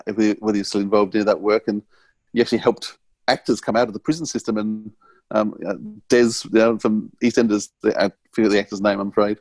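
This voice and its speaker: A man hosting a conversational podcast interview, tentative and halting as he works towards each question. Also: lowish voice, level tone, a little mellow, no echo. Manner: tentative, halting, self-correcting.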